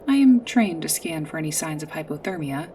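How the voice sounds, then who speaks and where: A woman playing a polite android guide in a sci-fi ASMR roleplay, speaking in a formal, precise, measured way. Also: sits low, animated, far from the mic, noisy background. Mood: formal, helpful. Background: music.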